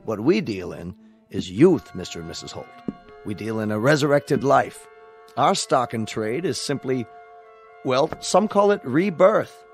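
An actor playing a courteous, persuasive corporate salesman in a radio drama, with a polished, flattering manner. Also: mid-range voice, a little slow, faint music behind.

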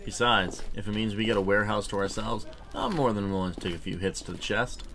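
A man's voice: fairly low pitch, fast talker, background noise, lightly acted. A man playing an easygoing, dryly casual yakuza gang member in an anime-style audio drama.

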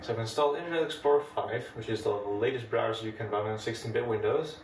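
A man's voice: on the low side, fast talker, slight background noise. Dutch-accented man in an echoey room. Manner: relaxed, chatty, explains step by step. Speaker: retro computing video host. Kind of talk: casual hardware demo narration. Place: room with old computers. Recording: echoey room, mic at a distance.

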